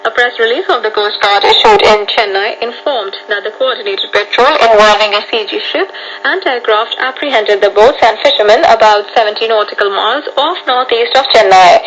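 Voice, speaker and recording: A woman's voice: a little high, a bit bright. A woman with an Indian accent reading a scripted news bulletin on shortwave radio. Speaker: radio newsreader. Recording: noisy humming shortwave radio broadcast.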